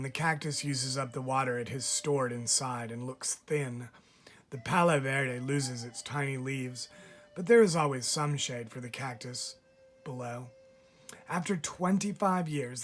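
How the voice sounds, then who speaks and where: Man reading a children's storybook, faint music behind. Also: medium pitch. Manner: measured, expressive storytelling with deliberate pauses. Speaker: teacher reading aloud. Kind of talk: scripted read-aloud of a children's picture book.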